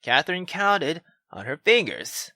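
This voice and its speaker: A woman hosting a gaming video, reading a visual novel aloud with expressive, casual, sweary commentary. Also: low-pitched, a little slow, no room sound. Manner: expressive, swearing, exclamatory asides. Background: faint game music.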